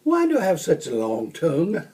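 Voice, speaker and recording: A man voicing a curious, excitable young male bear cub in a children's storybook. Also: a little high, normal speed. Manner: eager, questioning, exclaiming. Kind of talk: children's storybook read-aloud.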